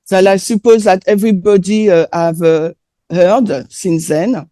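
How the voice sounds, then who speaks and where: Slow, mellow woman with a French accent. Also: mid-range voice. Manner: hesitant, frequent filler pauses. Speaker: presenter. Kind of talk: sermon with consecutive interpretation.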